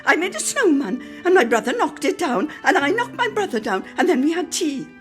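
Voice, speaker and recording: Eager, insistent small boy for a storybook drama, played by a woman, high and highly animated. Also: fairly quick, faint music behind. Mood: excited, boastful. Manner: interrupting, insistent, wide-eyed questioning. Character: eager, cheeky, curious small boy listening to stories.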